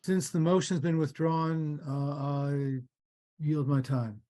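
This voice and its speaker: A man's voice: fairly high pitch, steady tone, slow, warm tone. Joking man on a meeting call. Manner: joking, hesitant with fillers. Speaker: board member. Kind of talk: online meeting chat. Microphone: video call.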